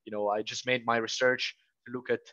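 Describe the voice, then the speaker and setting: A man's voice: mid-range voice, level tone, a little fast, sharp tone, no echo, muffled recording. A young man from Italy with an Italian accent, answering interview questions casually and hesitantly, with many fillers and restarts. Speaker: former international student.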